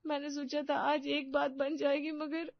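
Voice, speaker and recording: A woman's voice: high-pitched, level tone, a little slow, no room sound, muffled recording, voice pushed up. A woman voicing an anxious, eager-to-please young daughter-in-law in a story, earnest and plaintive. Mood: dejected.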